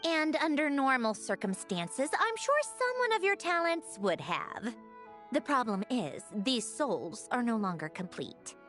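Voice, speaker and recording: A woman's voice: a little high, very animated, measured pace, a bit bright, soft background music. An actress voicing a self-assured, clever young woman in a video game, explaining things in a confident, dryly teasing way. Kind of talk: game voice acting.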